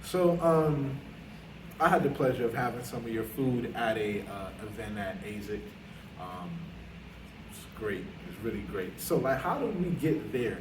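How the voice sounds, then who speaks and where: A man's voice: mid-range voice, animated, a little slow, noisy background. Male host, casual and affirming; echoey room, distant mic. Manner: friendly, affirming, with frequent fillers. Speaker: interview host, branch president. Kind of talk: casual interview conversation.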